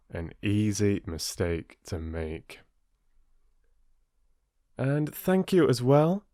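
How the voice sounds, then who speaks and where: A man working as a storyteller and host, speaking in an expressive, deliberate audiobook style. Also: fairly low pitch, dry recording, studio quality. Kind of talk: expressive audiobook narration and listener address.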